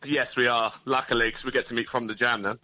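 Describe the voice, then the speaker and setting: A man's voice: mid-range voice, flat delivery, quick pace, pushed and bright, highs cut off. A man, a band member, chatting easygoingly as a guest in an interview and thinking aloud. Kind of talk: casual interview chat.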